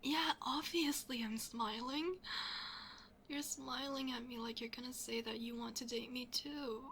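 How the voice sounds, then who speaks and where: A woman playing a smitten young best friend in an intimate ASMR roleplay, speaking softly and hesitantly, often half-whispering. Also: fairly high pitch, fairly even intonation, a little slow, a little hiss or hum. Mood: playful, happy. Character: smitten young best friend, shyly confessing, playfully teasing.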